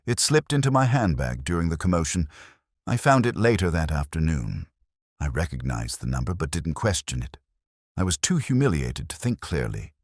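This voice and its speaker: A man voicing an ashamed, frightened village woman, soft-spoken and anxious, in an audio mystery story. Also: extremely low pitch, big pitch swings, moderate pace, no echo, studio quality, full character voice. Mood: ashamed, defensive.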